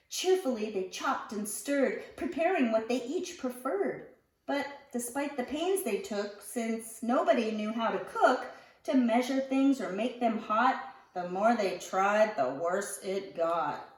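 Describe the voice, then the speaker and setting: A woman reading a rhyming children's picture book aloud in an expressive, theatrical storyteller's manner. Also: a little high. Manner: theatrical, expressive, with acted sighs and emphasis. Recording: roomy echo, distant microphone.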